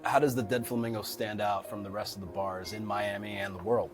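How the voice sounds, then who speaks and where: A man's voice: fairly low pitch, steady tone, a little fast. A man interviewing a fellow bartender in a casual, chatty style inside a bar. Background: music.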